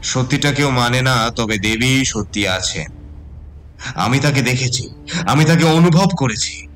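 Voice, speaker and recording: A man playing a possessed temple priest in a horror audio drama, with a heavy, grave voice and calm, ominous delivery. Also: mid-range voice, a little slow, a little hiss or hum, lightly acted. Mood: solemn, ominous. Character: possessed temple priest, devoted servant of blood goddess.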